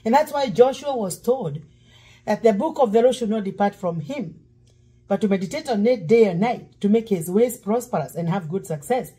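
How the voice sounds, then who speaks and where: A woman's voice: mid-range voice, lively intonation, normal speed, dark tone, husky, poor audio. A middle-aged woman pastor, preaching while cooking in her home kitchen, breaking into song now and then. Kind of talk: cooking demo sermon. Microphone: fixed camera across counter.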